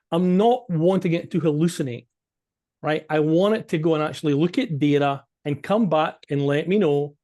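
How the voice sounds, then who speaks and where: A man's voice: a little high, darkish tone. A man with a Scottish accent giving an informal, explanatory business presentation as a coach, conversational and emphatic, often checking in with listeners.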